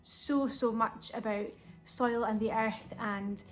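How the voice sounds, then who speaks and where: A woman with a fairly high, very even voice recounts recent work. Soft music plays beneath her. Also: takes it slowly, poor audio. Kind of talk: behind-the-scenes interview narration.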